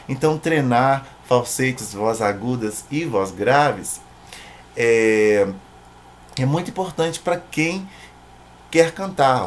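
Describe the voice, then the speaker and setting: A Brazilian man talks through a tutorial as a singing teacher, in a mid-pitched voice. His delivery is informal and conversational, punctuated with little tag questions to the listener. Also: a little hiss or hum. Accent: Brazilian Portuguese.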